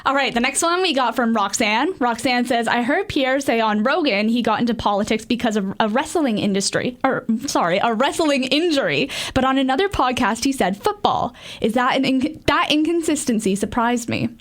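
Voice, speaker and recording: A woman's voice: fairly high pitch, expressive, normal speed, hardly any pauses. A young woman, a student broadcaster, reading listener messages on air and chatting agreeably. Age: young adult. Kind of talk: reading messages aloud and casual chat.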